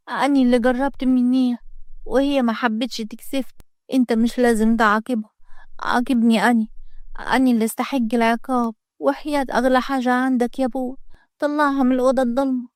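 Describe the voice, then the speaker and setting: A woman's voice: fairly high pitch, steady tone, fast talker, no echo, clean studio sound, pitched above the performer's own voice, lightly acted. A woman voicing an earnest young girl pleading with her father.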